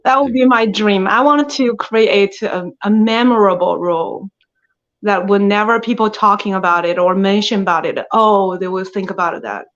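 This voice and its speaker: A woman with a thick Chinese accent, an aspiring actress speaking in an interview, halting and laughing often. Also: mid-range voice. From China. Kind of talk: interview conversation. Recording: dull lo-fi audio.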